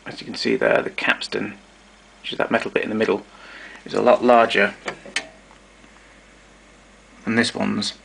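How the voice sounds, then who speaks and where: A man narrating a casual show-and-tell of his tape recorders, explaining step by step with pauses and fillers. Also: lowish voice, level tone, creaky, a bit bright, husky, poor audio.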